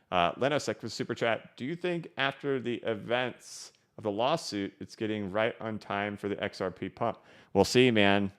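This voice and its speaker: Low smooth-voiced dude on a live stream, rambly and chill, lots of likes and restarts. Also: male voice, fairly bright tone. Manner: casual, rambling, many restarts and fillers. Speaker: crypto livestream host. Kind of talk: live-stream chat reading super chats. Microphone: studio microphone.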